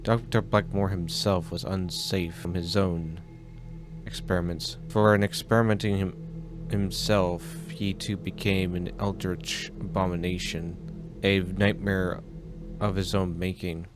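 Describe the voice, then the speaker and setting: A man acts a grave, formal storyteller in a horror drama. His low voice delivers scripted exposition emphatically over prominent music. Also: flat delivery, unhurried. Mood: formal, insistent. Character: formal, grave male informant recounting a madman's crimes. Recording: music playing behind the voice.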